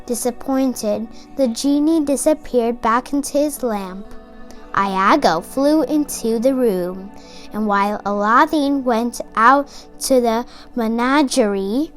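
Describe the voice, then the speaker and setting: A woman reading a children's story aloud from a script. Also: high-pitched. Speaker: storybook reader.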